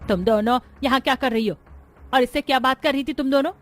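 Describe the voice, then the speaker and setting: A woman's voice: high voice, fast, natural voice. A woman voicing a disapproving, scolding older mother in a cartoon story. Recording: music bed under voice.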